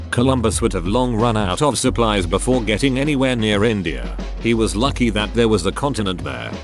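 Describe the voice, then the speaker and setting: A man narrating forum posts in a wry, scripted reading. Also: fairly low pitch. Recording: clear music bed underneath.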